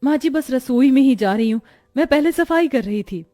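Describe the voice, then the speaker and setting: Woman voicing a weary, complaining young bride, high-pitched. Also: animated, normal speed, dark tone, no echo, clean studio sound. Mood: dutiful. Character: overworked young new bride, sighing and complaining. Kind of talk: animated moral story dialogue.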